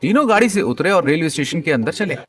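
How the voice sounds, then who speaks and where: A man's voice: on the high side, very animated, quick pace, loud music behind the voice. A man narrating a scripted audio story in a dramatic storytelling style. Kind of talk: dramatic scripted story narration, also voicing a young husband.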